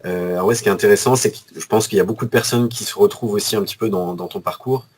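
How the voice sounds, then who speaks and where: A man hosting an interview, speaking in a halting way with many fillers. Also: lowish voice, darkish tone, breathy edge. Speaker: host. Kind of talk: casual interview chat.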